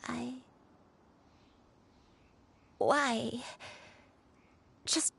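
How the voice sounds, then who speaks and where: An actress playing a frail, forgetful, tender old grandmother in a video game, speaking in a gentle, halting way. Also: fairly high pitch, fairly even intonation, vocal fry, slightly rough, full character voice. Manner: slow, halting, gentle reminiscing. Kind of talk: dramatic video game voice acting.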